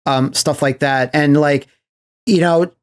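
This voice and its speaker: A man's voice: on the high side, fairly even intonation, slow pace, clean tone. A man hosting a podcast in casual film chat, telling stories and acting out quoted reactions. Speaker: podcast host. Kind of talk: casual chat about films.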